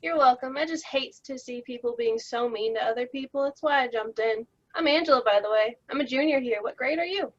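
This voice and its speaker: A woman's voice: sits high, fairly quick, hardly any pauses. A woman voicing a kind teenage girl who stands up for a classmate, chatty and protective, over dull video call audio. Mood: friendly.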